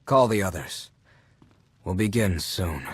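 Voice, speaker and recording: A husky, bitter imperial zealot. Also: male voice, deep voice, lively intonation, slow, dry recording, full character voice. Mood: commanding. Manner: stern, disdainful. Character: bitter male zealot loyal to a fallen emperor. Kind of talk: dramatic video game acting.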